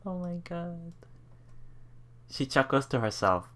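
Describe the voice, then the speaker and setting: A man hosting a let's play, reading game text aloud with casual, halting reactions and repeated words. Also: a little high, very animated, pushed and bright, slight background noise. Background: game music.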